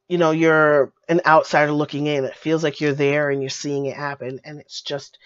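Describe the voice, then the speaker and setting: A woman with a cold, her voice going hoarse, giving a casual video book review in a gushing way, full of fillers and repeated words. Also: deep voice, dry recording. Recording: dull lo-fi dry sound.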